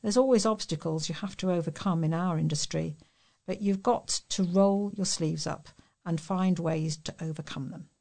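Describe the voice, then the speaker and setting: A woman's voice: lowish voice, moderate pace, creaky, darkish tone. A woman reading local news articles aloud as a volunteer reader.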